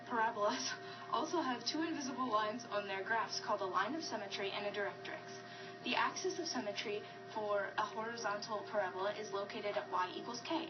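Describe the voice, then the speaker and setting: A young woman presents a scripted math lesson at a middling pitch. She is recorded a little away from the camera in a classroom, with music clearly playing behind her. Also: recorded from a distance, lo-fi sound. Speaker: student presenter in a puppet show.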